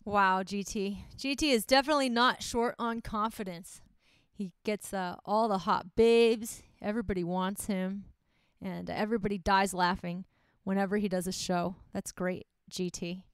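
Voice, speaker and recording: Woman, exceptionally clear smooth voice, medium pitch, dry sound, teasing casual co-host banter. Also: fairly even intonation, normal speed, dry recording. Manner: teasing, sarcastic. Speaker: comic and podcast co-host.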